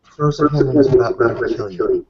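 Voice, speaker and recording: A man's voice: mid-range voice, quick pace, a crowd in the background. A man improvising narration and character lines in a roleplaying game, recorded on muffled audio. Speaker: tabletop roleplaying participant. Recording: muffled lo-fi audio, background noise.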